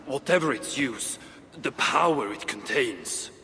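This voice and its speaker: A needling dwarf craftsman in a video game cutscene. He sounds dry and cocky, his forceful voice moving animatedly, with long reverb around it. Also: medium pitch, lively intonation, a little slow. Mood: doubtful. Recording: long reverb, some background noise.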